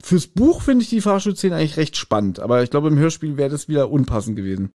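A man's voice: on the high side, sing-song, fast, warm tone. A man co-hosting a podcast, recapping a story in casual, conversational talk and often checking for agreement. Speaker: podcast co-host. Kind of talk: casual chat recapping an audio drama.

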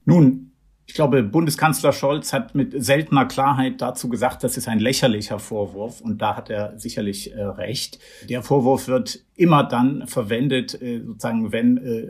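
A man working as a foreign correspondent, answering interview questions in a measured, explanatory way, often pausing with hesitation sounds. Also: medium pitch, fairly even intonation, a little mellow, breathy edge.

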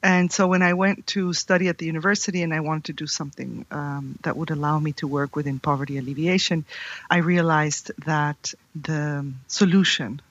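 A woman's voice: low-pitched, steady tone, normal speed, no echo. A middle-aged woman from Ecuador, a company founder, answering interview questions thoughtfully with examples. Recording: dry, dull lo-fi sound.

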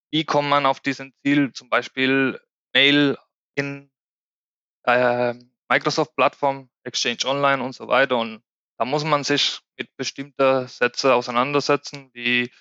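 A man's voice: mid-range voice, pushed and bright, smooth, dry recording, dull audio. A man from Spain with a Spanish accent and a regional German dialect, a podcast guest speaking German carefully and sometimes searching for words. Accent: Spanish, with a Lower Franconian German dialect from the Aschaffenburg area. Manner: careful, searching for words, occasional grammar slips. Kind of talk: casual interview chat.

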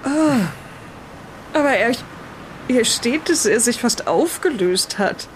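A woman's voice: a little high, expressive, slow pace, low-quality recording. A woman playing an uneasy 1920s witness to occult events in an audio drama, with faint noise behind. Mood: shocked, uneasy. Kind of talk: in-character audio drama retelling.

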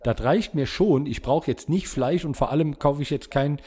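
A man's voice: fairly high pitch, moderate pace, a little mellow, breathy edge. A man hosting a podcast, talking in a casual, conversational style. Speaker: podcast host. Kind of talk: casual chat.

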